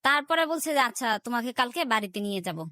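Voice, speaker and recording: Bangladeshi woman, kinda high voice, telling her life story in an interview, quotes what people said, dry sound. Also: lively intonation, fast, pushed and bright, no echo. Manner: recounts events in quoted back-and-forth dialogue. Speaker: interview guest. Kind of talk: personal life story told in conversation, acting out remembered dialogue.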